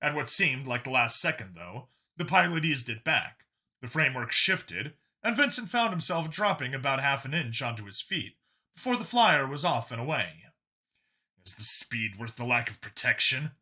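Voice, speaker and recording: A man's voice: mid-range voice, big pitch swings, normal speed, pushed and bright, slightly rough. A man reading scripted fiction as an audiobook narrator.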